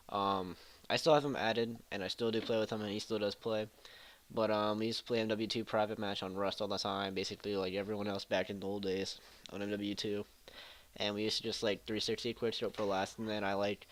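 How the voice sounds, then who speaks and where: A man's voice: fairly low pitch, fairly bright tone. A man hosting a casual gaming Q&A vlog, nasal from allergies, answering viewer questions with joking, irreverent, offhand replies. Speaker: gaming channel host.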